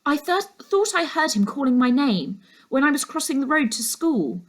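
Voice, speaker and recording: A woman voicing an anxious, stubborn young girl in a storybook, excitable and insistent. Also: high voice, normal speed, pitched above the performer's own voice. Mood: earnest, hesitant. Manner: excitable, urgent, pleading.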